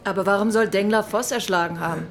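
Stern, brisk actress as chief inspector. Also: female voice, medium pitch, a little hiss or hum, lightly acted. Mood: sceptical. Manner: brisk, questioning, authoritative. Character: stern, sceptical female chief police inspector. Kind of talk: radio drama acting.

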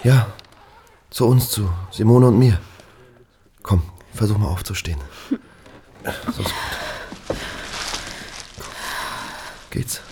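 Worried middle-aged family friend, low pitch, hesitant, soothing, audio drama, faint background noise. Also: male voice, slow pace, long pauses, mellow. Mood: soothing, caring. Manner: hesitant, earnest, reassuring. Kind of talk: naturalistic German audio drama acting.

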